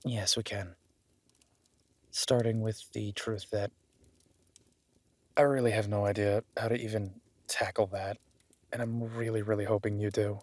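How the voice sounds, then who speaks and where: A man playing a young werewolf duke in an intimate audio roleplay, modest and earnest, speaking informally and haltingly as if unsure of himself. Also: on the low side, level tone, darkish tone, no echo. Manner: hesitant, self-deprecating, informal, halting.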